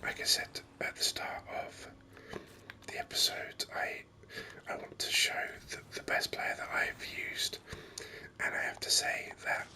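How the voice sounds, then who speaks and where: A man whispering ASMR game commentary in a breathy, unhurried way, thinking aloud close to the microphone. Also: sharp tone. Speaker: gaming video host.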